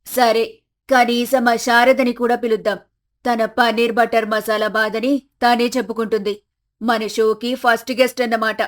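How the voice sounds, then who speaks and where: A woman voicing an older village mother-in-law who is indignant, complaining and self-important, in an animated story. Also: fairly high pitch, moderate pace, natural voice. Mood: eager, bossy. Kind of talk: animated moral story acting.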